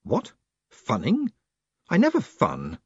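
Man voicing a flustered meek young postmaster, jumpy high pitch, husky, dull lo-fi. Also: wildly swooping pitch, takes it slowly, darkish tone, no echo, exaggerated voice.

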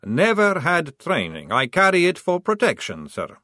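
A man voicing a calm, polite male vampire recruit who speaks patiently and deadpan. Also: mid-range voice, unhurried, no room sound, studio quality.

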